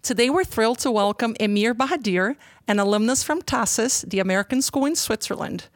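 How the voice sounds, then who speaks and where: A woman's voice: on the high side, lively intonation, brisk, no echo, studio quality. A woman hosting a podcast, reading polished prepared introductions and questions. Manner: polished, reads prepared lines. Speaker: podcast host. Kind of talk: scripted interview questions.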